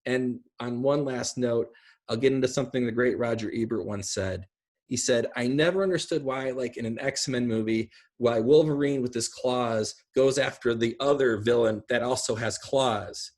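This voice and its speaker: A man's voice: medium pitch, moderate pace, darkish tone. A middle-aged man on a video call, explaining his ideas in a casual, rambling way. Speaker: guest speaker. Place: indoor room at home, on a video call. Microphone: video call microphone.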